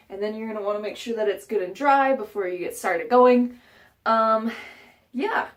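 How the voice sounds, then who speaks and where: A woman vlogging a casual how-to from her bathroom, rambling with fillers and joking asides, a little stuffed up. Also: a little high. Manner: chatty, rambling, frequent fillers and self-mocking asides. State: a bit stuffed up. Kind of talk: casual how-to vlog chat. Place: home bathroom.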